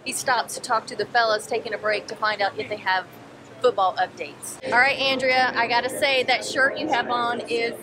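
A high-voiced woman chats cheerfully into a handheld camera at an outdoor racetrack. Loud crowd and engine noise surround her voice. She talks casually and laughs easily. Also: fairly even intonation, moderate pace. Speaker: travel vlogger host. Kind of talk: casual vlog chat. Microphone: handheld camera at arm's length.

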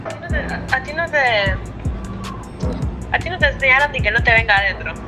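A woman with a Panamanian accent chats casually on a phone call. Her voice is high and her manner is blunt and questioning. Music plays behind her. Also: lively intonation, a little fast. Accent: Panamanian Spanish. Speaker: friend called on the phone.

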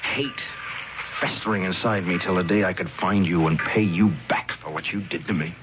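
Actor as a deep-voiced, bitter, vengeful young man. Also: fairly even intonation, normal speed, close to an everyday voice. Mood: bitter, anguished. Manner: terse, brooding, intense. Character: bitter vengeful young ex-football player, lovesick and brooding. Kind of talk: 1970s radio drama acting. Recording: dull 1970s radio recording, loud music.

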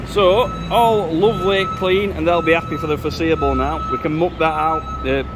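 A chatty man talks to a handheld camera in an open farm barn. His voice sits a little high, and machinery and farmyard noise carry clearly behind him. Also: normal speed. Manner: chatty, relaxed, with many fillers. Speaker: farmer and presenter. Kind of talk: casual vlog narration. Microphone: handheld selfie camera. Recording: outdoor noise, machinery nearby.

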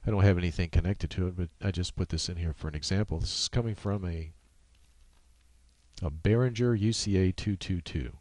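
A man with a low voice narrates a screen-capture tutorial. He explains each step off the cuff, halting as he goes, in a casual way. The sound is dry, with no room to it. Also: a little mellow, no echo. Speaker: software tutorial presenter. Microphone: USB microphone.

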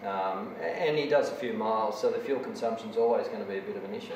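A middle-aged mechanic explaining an outboard engine on camera, hesitant with many ums and technical detail. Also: male voice, on the low side, fast talker, darkish tone. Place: indoor workshop beside a boat. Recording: echoey workshop, distant mic, noisy.

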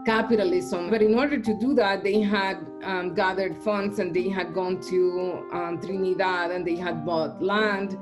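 A woman in her forties from El Salvador, an artist and professor, speaking thoughtfully at home over a webcam with frequent um and uh pauses. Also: on the low side, fairly even intonation. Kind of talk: reflective interview monologue. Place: indoor home room. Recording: music playing under the voice. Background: guitar music.

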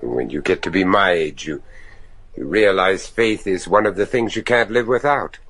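A man playing an earnest, probing middle-aged judge searching for his son in a gothic horror radio drama, speaking formally with deliberate hesitations. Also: deep voice, a bit bright, dry recording. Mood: solemn.

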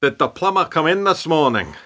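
An actor in a radio play playing a blunt, matey workmate with a brisk, informal way of talking. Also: male voice, fairly high pitch, big pitch swings, sharp tone, full character voice.

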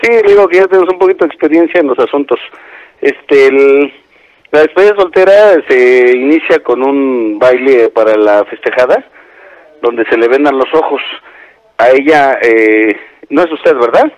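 A man's voice: mid-range voice, expressive, normal speed, no room sound, muffled recording. A middle-aged man with a Mexican accent, speaking politely and in a businesslike way as he takes a booking call. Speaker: party clown taking a booking call. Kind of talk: phone conversation.

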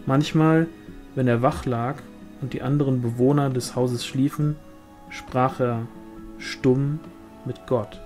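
A man's voice: mid-range voice, steady tone, slow, a little mellow, faint music behind. A man reading a literary novel aloud as scripted audiobook narration. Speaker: audiobook reader.

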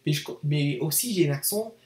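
A man's voice: a little high, lively intonation, brisk, a little mellow, roomy sound. A young man from Canada, a native English speaker, talking to camera in hesitant learner's French with an anglophone accent, full of fillers and self-corrections. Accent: English-speaking Canadian learner of French, with some Quebec French. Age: young adult. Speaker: vlogger. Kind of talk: unscripted casual monologue to camera. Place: small indoor room, seated in desk chair.

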